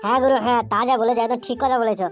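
A woman's voice: on the high side, fairly even intonation, racing, faint music behind, close to an everyday voice. A woman voicing a crude, boastful young male slacker and sidekick in an amateur comedy cartoon. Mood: eager, deferential. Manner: swearing, bragging.

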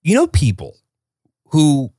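A man's voice: on the high side, huge pitch swings, slow pace, warm tone, slightly rough, dry recording. A young man co-hosting a podcast in casual chat, teasing his co-host with a dry wit. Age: young adult. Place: small studio set with a couch. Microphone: studio mic on a boom arm, headphones.

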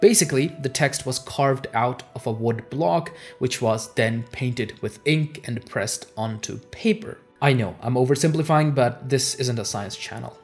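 A man's voice: mid-range voice, a little slow, few pauses. Young man narrating history over music. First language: Urdu. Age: young adult. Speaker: history channel host. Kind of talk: scripted educational narration. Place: small home office, indoors. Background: background music.

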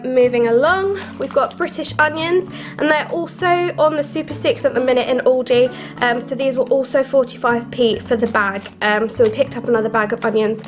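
Stuffy-nosed young woman chatting brightly. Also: high voice. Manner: chatty and upbeat, with frequent ums and small laughs. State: stuffy, congested from a cold. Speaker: lifestyle vlogger. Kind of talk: casual haul vlog to camera. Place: small flat, indoors at a table. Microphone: camera mic at close range.

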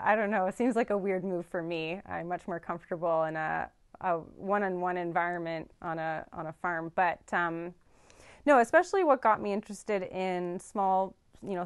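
A woman's voice: lowish voice, normal speed, fairly bright tone, clean tone. A young woman farm veterinarian answering interview questions thoughtfully, with frequent ums and restarts, seated in a studio. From Canada. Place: studio with dark backdrop.

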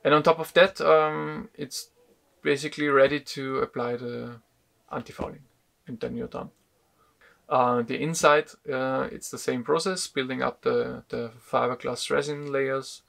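Slow, gravelly man explaining boat repairs. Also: mid-range voice, fairly even intonation, a bit bright. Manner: unhurried, halting with fillers. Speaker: sailing vlogger. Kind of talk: casual explanation to camera. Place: wooden boat cabin, indoors. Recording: music playing behind.

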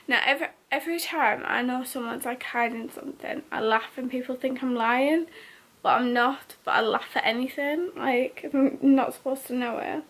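Sleepy 18-year-old woman, gravelly voice. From North East England. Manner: sleepy, low-key, short flat answers. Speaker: vlogger. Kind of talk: casual Q&A vlog. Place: bedroom, lying in bed.

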